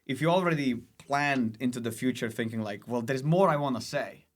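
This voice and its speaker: A man's voice: medium pitch, brisk. A man from Lithuania, a comedian guest chatting casually and reflectively in a conversational way. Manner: reflective, conversational, frequent 'like' and 'you know'. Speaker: stand-up comedian, podcast guest. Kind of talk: casual chat.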